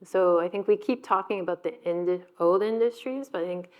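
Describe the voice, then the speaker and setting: A woman with a somewhat low voice speaks on a panel at a business event. She talks briskly and knowledgeably. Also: muffled recording. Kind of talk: panel discussion.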